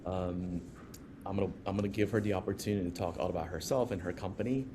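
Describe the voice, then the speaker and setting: Low-voiced male podcast host, noisy recording. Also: fairly even intonation. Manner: halting, informal, conversational. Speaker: podcast host, company co-founder. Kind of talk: casual interview hosting.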